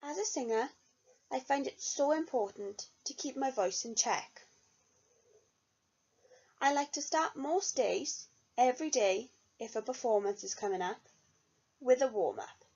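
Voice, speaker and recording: A woman's voice: high voice, expressive, unhurried, long pauses, darkish tone, slightly rough. A young woman, a music student, reading an earnest reflection on her year of singing study. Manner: earnest, read-aloud delivery. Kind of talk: reflective spoken self-review. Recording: dull lo-fi recording.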